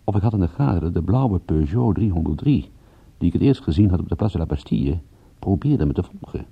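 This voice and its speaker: A man's voice: sits low, animated, fairly quick, vocal fry, slightly rough, heavily acted. A man playing a wry private detective in a radio play, with a dry, laconic delivery, at times narrating his own case. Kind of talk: radio play acting with first-person narration. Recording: dull, lo-fi radio play recording.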